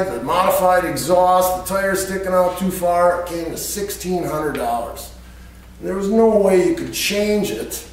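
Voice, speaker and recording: Casual American male storyteller, higher-pitched, recorded at a distance in a very reverberant room. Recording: echoey room, distant mic, faint hum.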